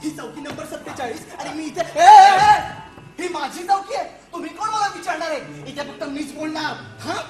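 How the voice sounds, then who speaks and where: A woman playing a lonely male soldier in a comic stage play, excitable and rambling, stammering and repeating words. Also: high voice, a little fast, lightly acted. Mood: blustering, nervous. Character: jittery, lonely, talkative male soldier at a forgotten post. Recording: echoey stage recording with music.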